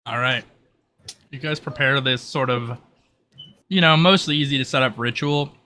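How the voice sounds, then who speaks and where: Very bright-voiced male game master. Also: mid-range voice, moderate pace, slight background noise. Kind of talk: improvised scene narration.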